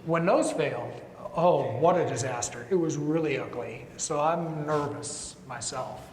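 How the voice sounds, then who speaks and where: A man's voice: unhurried, darkish tone. A man who works as a lawyer, speaking wryly and conversationally on a panel. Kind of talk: panel discussion. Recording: echoey hall, long reverb, faint rumble.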